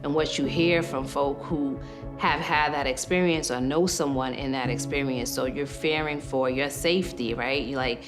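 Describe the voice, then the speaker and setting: A woman's voice: extremely low pitch, fairly even intonation, normal speed. A woman from Queens, New York, speaking earnestly and candidly in a documentary interview. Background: music.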